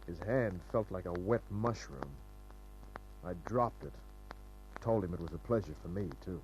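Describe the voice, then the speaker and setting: An actor playing a wry, tough private detective in old-time radio drama, dry and laconic. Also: male voice, sits low, normal speed, darkish tone, faint music behind, close to an everyday voice, poor audio. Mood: hard-boiled, observant. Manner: dry, hard-boiled, laconic.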